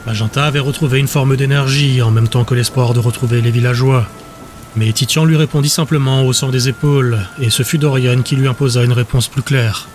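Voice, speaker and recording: A man's voice: mid-range voice, steady tone, fairly quick, slight background noise. A man giving scripted narration for a fantasy audiobook.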